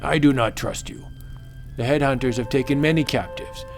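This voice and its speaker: A man's voice: mid-range voice, moderate pace, soft background music, close to an everyday voice. A man voicing a proud, mighty witch doctor with stilted, declamatory ritual speech in a comic book audiobook. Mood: boastful, solemn.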